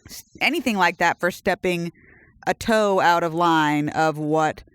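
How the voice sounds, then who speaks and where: An earnest podcast co-host talking in an everyday middle pitch, quick to agree and affirm the other speaker. The voice is smooth and clear. It is recorded close and dry, with no room sound. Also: a bit bright, no room sound. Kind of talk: casual chat.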